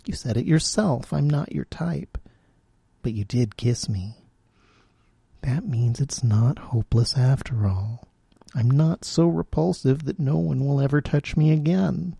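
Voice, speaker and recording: A man voicing a lonely male enchanted frog who speaks gently and earnestly. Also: mid-range voice, measured pace, vocal fry, husky, dry recording. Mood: gentle earnest.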